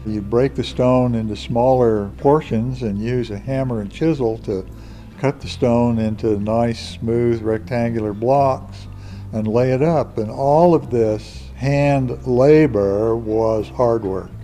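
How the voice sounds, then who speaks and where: Slow, mellow man explaining. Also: mid-range voice, soft background music. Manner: measured, plain explanatory phrasing. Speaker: interviewed expert, professor. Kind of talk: explanatory interview.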